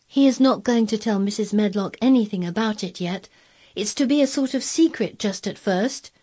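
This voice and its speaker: A woman's voice: medium pitch, moderate pace, mellow, breathy edge, natural voice. A woman voicing a stubborn, self-possessed young girl who speaks firmly and plainly in a storybook reading. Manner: firm, plain-spoken, earnest.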